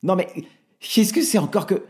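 A man voicing a hapless, blustering husband who stammers and protests loudly. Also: high voice, very animated, moderate pace, husky, voice pushed up, heavily acted. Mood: outraged.

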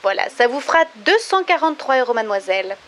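An actress playing a brisk, officious railway ticket clerk in a radio comedy. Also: female voice, a little high, big pitch swings, fairly bright tone, a little hiss or hum.

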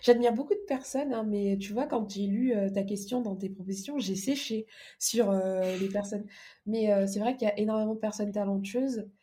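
Woman podcast guest, mellow relaxed tone, casual chat, explains stuff with examples. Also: medium pitch, normal speed, a little hiss or hum. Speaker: podcast guest, organisation coach. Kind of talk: casual interview conversation.

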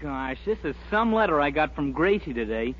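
Whiny lovesick American college boy, high pitched, bewildered, old radio advert with muffled sound. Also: very animated, normal speed, fairly bright tone. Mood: peeved. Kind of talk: 1940s radio advert acting. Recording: dull 1940s radio recording.

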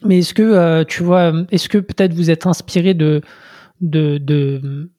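Podcast host, warm mellow voice, asks long halting questions, dry close sound. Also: medium pitch, fairly even intonation, no room sound. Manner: hesitant, many restarts and fillers. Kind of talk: interview questions.

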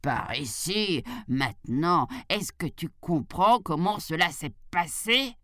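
A woman's voice: lowish voice, expressive, slow pace, bright, forceful tone, dry recording, full character voice. Woman as a fierce, growling, impatient storybook tiger. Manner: growling, domineering.

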